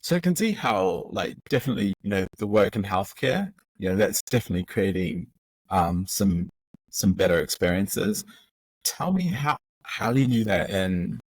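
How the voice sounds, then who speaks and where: A man hosting a podcast interview in a relaxed, encouraging manner. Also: sits low, no echo, poor audio. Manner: relaxed, encouraging with brief acknowledgements. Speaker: podcast host.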